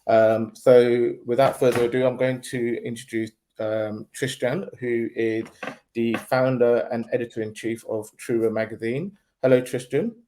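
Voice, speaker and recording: A man hosts an online webinar and introduces and interviews his guest. He talks in a loose, conversational way with ums and restarts, in a voice of middle pitch. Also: fairly even intonation, unhurried, warm tone, a little hiss or hum.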